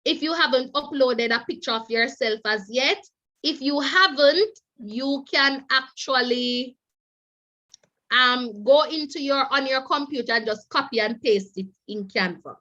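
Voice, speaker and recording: A woman speaks slowly and deliberately, a little stuffy and nasal from a cold. Her voice sits high and comes across bright. The sound is dull and lo-fi, like a video call. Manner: repetitive, coaxing, keeps addressing "teachers". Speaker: teacher trainer. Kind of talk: online teacher training seminar.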